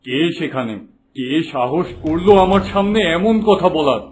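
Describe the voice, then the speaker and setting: A man's voice: a little high, expressive, moderate pace, faint music behind, lightly acted. A man voicing a proud, distrustful king in a fairy-tale cartoon, with a commanding manner. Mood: haughty anger. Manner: commanding, haughty.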